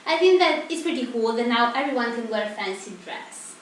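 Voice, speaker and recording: Young woman, student presenter, scripted delivery, raised pitch, far from the microphone, strongly reverberant room. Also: expressive, brisk. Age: teenager or young adult. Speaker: student presenter of a mock 1950s TV broadcast. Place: echoey indoor room. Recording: very echoey room, distant mic, faint noise.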